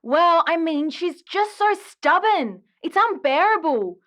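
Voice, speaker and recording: High-pitched, dramatic, exasperated woman caller. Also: expressive, takes it slowly, fairly bright tone, exaggerated voice. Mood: exasperated, aggrieved. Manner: dramatic, chatty, aggrieved. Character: exasperated, dramatic woman caller griping about her ghost ex. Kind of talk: audio drama phone-in acting.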